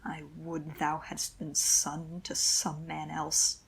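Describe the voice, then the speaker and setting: A woman playing a stern, usurping Duke in a Shakespearean radio play, with a gravelly, husky voice and curt command. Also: deep voice, monotone, slow, heavily acted, poor audio. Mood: cold displeasure. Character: stern, imperious usurping male Duke, a father.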